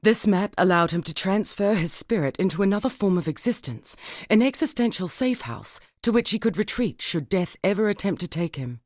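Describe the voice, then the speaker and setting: An actress playing a grieving, learned woman survivor in a dark fantasy video game, speaking in a measured, formal, wistful way. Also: medium pitch, lively intonation, no echo, studio quality, close to an everyday voice. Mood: grave, foreboding. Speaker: non-player character in a video game. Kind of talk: dramatic scripted game dialogue.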